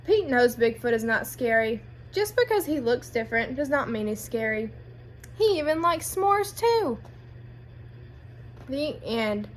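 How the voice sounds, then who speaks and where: Female picture-book reader, high lively voice, asks kids guiding questions, light background noise. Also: a little slow. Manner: addresses children with guiding questions. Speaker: read-aloud storyteller. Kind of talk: animated children's picture book narration.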